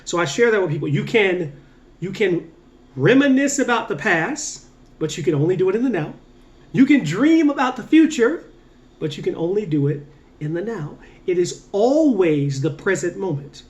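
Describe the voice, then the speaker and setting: A man talking as a mindset coach, giving an earnest, casual motivational talk to camera, rising on key points and slowing down to stress his words. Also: sits high, very animated, muffled recording. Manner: earnest, repetitive, emphatic with drawn-out slow endings.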